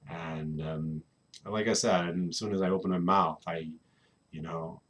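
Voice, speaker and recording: A man's voice: low voice, steady tone, measured pace, a bit bright, muffled recording. A young man from Slovenia with a Slovenian accent, chatting casually to a webcam at home and rambling with lots of "you know what I mean". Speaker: vlogger. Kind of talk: casual personal chat to camera. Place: home room in England.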